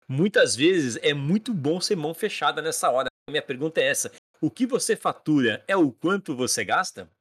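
A man's voice: a little high, animated, fairly quick, few pauses, fairly bright tone, slightly rough, dry recording, clean studio sound. A man hosting a podcast, teasing his guest in a jokey way and speaking straight to his listeners. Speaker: podcast host. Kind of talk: casual podcast interview.

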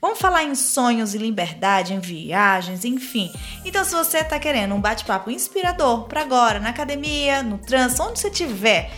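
A woman's voice: a little high, big pitch swings, a little fast, hardly any pauses, fairly bright tone, soft background music. A woman with a Brazilian Portuguese accent hosting a podcast, introducing her guest with enthusiasm and chatting casually. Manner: enthusiastic, upbeat. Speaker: podcast host. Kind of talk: podcast interview, scripted intro. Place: São Paulo.

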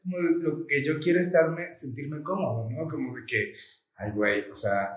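A man's voice: on the high side, moderate pace. A man from Colombia raised in Venezuela, with a Venezuelan accent, chatting casually as a podcast guest and acting out the people in his stories. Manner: animated storyteller, acts out quoted dialogue. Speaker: smoke shop co-owner guest. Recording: echoey room.

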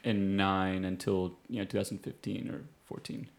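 A man's voice: sits low, monotone, moderate pace. A man hosting a podcast, talking in a loose, reflective way and swearing casually. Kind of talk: casual interview conversation.

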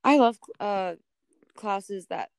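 A woman's voice: medium pitch, animated, takes it slowly, smooth, no room sound. A woman co-hosting a podcast, talking casually about a TV series. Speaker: podcast co-host.

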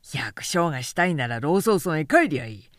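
A woman voicing a blunt, hot-tempered male warrior who grumbles in rough speech, in an audiobook novel. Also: deep voice, big pitch swings, moderate pace, dry recording, clean studio sound. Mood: sarcastic complaint. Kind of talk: literary audiobook dialogue.

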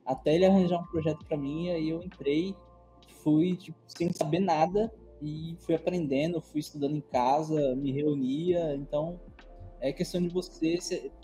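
A young man talks in a relaxed podcast chat, his voice pitched a little high but soft and mellow in tone. He rambles through his points, restarting sentences as he goes. Faint music sits under the talk. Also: moderate pace. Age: young adult. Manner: loose, meandering explanations with many restarts. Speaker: co-host.

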